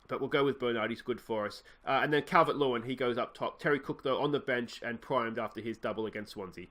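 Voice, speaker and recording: A man's voice: mid-range voice, fast. An Australian man with a slightly nasal voice from a cold, casually talking through his gameplay, rambling and often correcting himself. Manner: rambling, self-correcting, excitable at goals. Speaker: let's play host.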